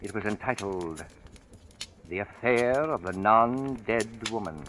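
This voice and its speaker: An actor playing a brooding storyteller in an old-time radio horror drama, narrating with deliberate, suspenseful, theatrical phrasing. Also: male voice, on the low side, expressive, fairly bright tone, a little hiss or hum. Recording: vintage radio recording with music behind. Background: dramatic music.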